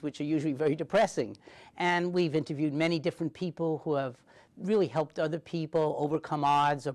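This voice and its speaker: A man's voice: a little high, a little mellow. An American man hosting an informal local TV interview in a studio, speaking as an encouraging, responsive listener. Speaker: talk show host.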